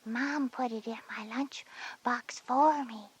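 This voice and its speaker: A woman playing a breathy, whispery, anxious little kindergarten girl in a children's educational audio drama. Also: a little high, slow, no echo, exaggerated voice. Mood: anxious, wondering.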